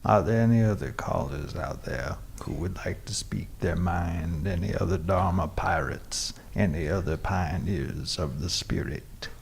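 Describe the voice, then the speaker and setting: A man playing a pompous, philosophical pirate-radio host with a gravelly, husky voice and a solemn, wordy manner. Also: low-pitched, measured pace, hardly any pauses, slight background noise. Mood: grandly inviting. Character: grandiose philosophical male pirate-radio host, earnest hippie preacher type. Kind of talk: comic radio drama acting.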